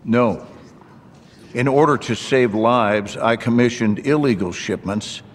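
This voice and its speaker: An actor playing a gruff, commanding American army general in a military video game. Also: male voice, mid-range voice, takes it slowly, slight background noise, lightly acted. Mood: formal, measured. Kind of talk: cinematic military game dialogue. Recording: long hall reverb, loud music behind.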